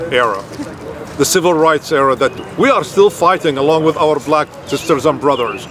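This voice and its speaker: A measured, emphatic man from Palestine gives a street interview at an outdoor rally. His voice sits slightly high. A loud crowd murmurs all around him. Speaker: activist interviewee.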